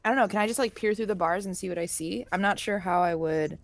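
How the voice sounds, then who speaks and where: A woman's voice: medium pitch, quick pace, no echo. A woman chatting casually as a player in a tabletop game, tentatively thinking aloud.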